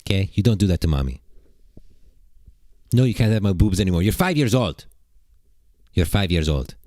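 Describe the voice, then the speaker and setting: A fussy young mother prattles on in a high, sugary falsetto, put on by a man. She strings her complaints together in a chattering run. Every word comes out in a mock-sweet tone. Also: very animated, normal speed, dark tone, dry recording, clean studio sound. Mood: complaining.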